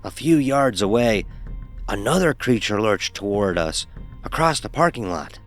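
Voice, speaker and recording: A man's voice: on the low side, lively intonation, unhurried, a bit bright, faint music behind. A man narrating a first-person horror story in a dramatic, wry manner.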